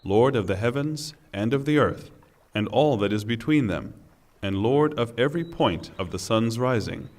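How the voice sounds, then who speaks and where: A man with a medium-pitched voice reads translated scripture formally. His delivery is solemn and deliberate, and a long reverb trails after his words. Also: animated, darkish tone. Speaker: reader of Quran translation.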